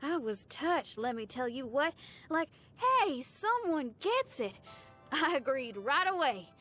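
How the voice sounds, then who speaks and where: A high-pitched, brash young rocker girl from a video game. She talks in a casual, slangy, folksy way, full of enthusiasm and free-spirited friendliness. Faint music plays behind her. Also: big pitch swings, takes it slowly, a bit bright, heavily acted. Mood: chatty, expressive. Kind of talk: video game character voice lines.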